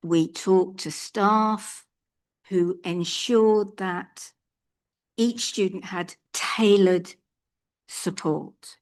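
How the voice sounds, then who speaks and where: An older woman addresses a council chamber in a slow, deliberate way. She sounds earnest and measured as she delivers a prepared statement. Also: medium pitch, darkish tone. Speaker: public speaker at a council meeting.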